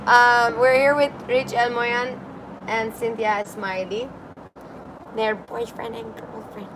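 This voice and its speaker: A woman's voice: fairly high pitch, level tone, slow pace. A woman hosting a casual livestream on her balcony, chatty and giggly, reading comments aloud. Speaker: livestream host. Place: apartment balcony by a busy street. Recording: outdoor street noise. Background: street traffic.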